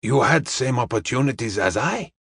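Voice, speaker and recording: Man as a detective, serene, courteous, deliberate phrasing, audiobook character, medium pitch. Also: expressive, fairly bright tone, husky, no room sound, clean studio sound. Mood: calm, assured. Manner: slow, deliberate, formally polite, drops articles.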